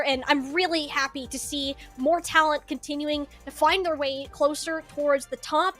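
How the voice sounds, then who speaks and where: Very high-pitched woman calling an esports match. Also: a bit bright. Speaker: esports commentator. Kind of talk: live play-by-play commentary.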